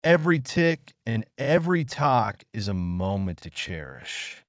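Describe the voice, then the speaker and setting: A man's voice: mid-range voice, very animated, unhurried, no room sound, highs cut off. A man voicing a wise old grandfather mouse in a children's storybook, earnest and instructive.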